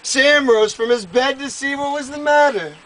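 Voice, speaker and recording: A man reading a comic rhyming poem aloud to friends in a measured storybook cadence, on an amateur live recording. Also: very high-pitched, a bit bright. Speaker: poem reader.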